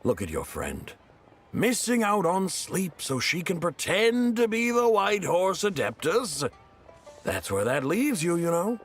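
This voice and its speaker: A man's voice: high-pitched, huge pitch swings, a little slow, husky, faint music behind, heavily acted. An actor playing a friendly, self-satisfied older townsman in a video game, chatty and folksy in a theatrical way. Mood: teasing, lecturing. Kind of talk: English video game voice acting.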